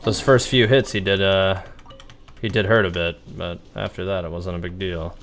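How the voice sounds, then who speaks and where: A man's voice: sits low, fairly even intonation, moderate pace, a bit bright. A man giving casual, easygoing live game commentary as a streamer, thinking aloud as he plays. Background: game music.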